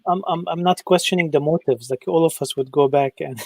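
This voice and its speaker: A man hosting an interview, asking questions in a halting way with stacked repeated starts. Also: a little high, a little mellow, no room sound. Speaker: host.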